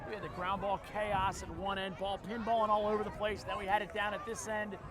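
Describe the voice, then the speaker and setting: A man with a Philadelphia accent calling a lacrosse game play by play, quick and jokey, shouting on big plays. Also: high voice, flat delivery. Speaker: play-by-play commentator. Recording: outdoor broadcast with loud crowd and noise.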